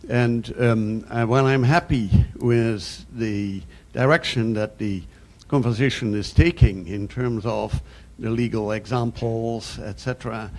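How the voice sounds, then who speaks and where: An older man from the Netherlands with a Dutch accent, making a formal, measured comment from a conference audience. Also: mid-range voice, fairly even intonation, a little mellow. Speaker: audience member, committee chairman.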